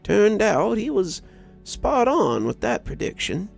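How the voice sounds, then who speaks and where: A man voicing a folksy elderly grandfather, a war veteran telling stories of his youth in an unhurried, gravelly voice. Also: high voice, huge pitch swings, soft background music, voice pushed up, heavily acted. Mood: calm, reminiscing. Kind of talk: first-person storytelling.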